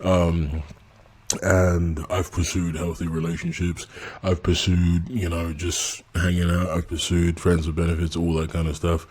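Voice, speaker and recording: Male channel host, deep husky voice, candid and blunt in a relaxed Q&A. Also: measured pace. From England. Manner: candid and blunt, laughs often. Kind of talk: casual subscriber Q&A.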